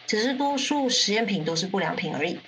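A woman acting a composed faction leader and narrator in a radio drama, measured and detached in delivery. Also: medium pitch, expressive, fairly bright tone, a little hiss or hum, close to an everyday voice, low-quality recording. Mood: calm, explanatory.

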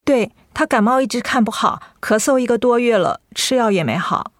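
An actress playing a concerned, attentive grown daughter in a radio drama, polite and earnest. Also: female voice, on the high side, lively intonation, fairly quick, no room sound, clean studio sound, lightly acted.

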